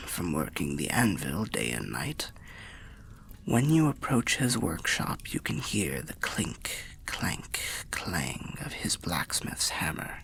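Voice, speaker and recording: A man voicing a weary old undead wanderer telling fantasy tales in ASMR roleplay, half whispered and gravelly, with a measured, wry, old-fashioned way of speaking. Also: fairly bright tone, full character voice. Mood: fond, hushed. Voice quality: breathy whispering, gravelly low voice. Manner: measured, archaic, wry storyteller.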